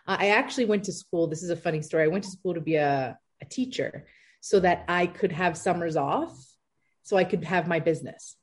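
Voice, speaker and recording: A woman in her thirties, an entrepreneur answering interview questions in a chatty, lively way with many fillers. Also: lowish voice, a little fast. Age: 30s. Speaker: entrepreneur guest.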